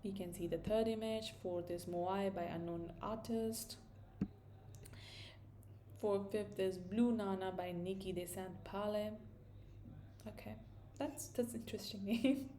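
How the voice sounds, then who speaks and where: A woman's voice: mid-range voice, a little slow, long pauses, mellow, a little hiss or hum. A young woman from India with an Indian accent, casually thinking aloud as she walks through a coding tutorial, with fillers and self-corrections. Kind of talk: screen-recorded coding tutorial.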